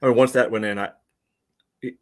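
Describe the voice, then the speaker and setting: A man's voice: medium pitch, fairly even intonation, fairly quick. An American man co-hosting a soccer podcast, talking through a match in casual, conversational analysis.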